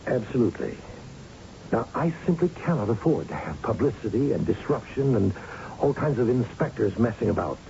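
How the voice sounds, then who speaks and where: An actor playing a condescending, evasive, corrupt middle-aged factory boss in a 1970s-style radio drama. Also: male voice, mid-range voice, very animated, normal speed, gravelly, mellow. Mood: smug, patronizing. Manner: evasive, patronizing, rhetorical. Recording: dull, noisy old radio recording.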